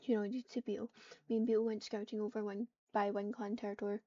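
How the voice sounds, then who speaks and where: A woman reading roleplay lines aloud as she plays, in a flat, subdued way. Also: medium pitch, fast, dry recording. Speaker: online roleplayer. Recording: muffled, distant mic with keyboard typing.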